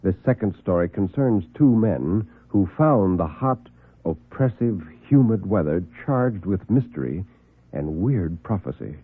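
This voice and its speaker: A man presenting scripted tales as a radio storyteller, in a deliberate, ominous manner. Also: deep voice, animated, husky. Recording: muffled vintage radio recording with music.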